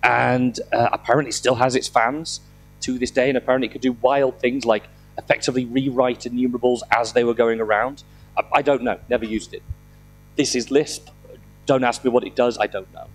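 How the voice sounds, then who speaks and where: A middle-aged man with a slightly croaky voice from a throat infection, giving an informal, self-deprecating technical talk with dry humour into a handheld microphone. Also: medium pitch, fairly even intonation, fairly quick, rough recording. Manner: self-deprecating, dryly humorous. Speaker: conference speaker. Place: indoor conference room, speaking on stage.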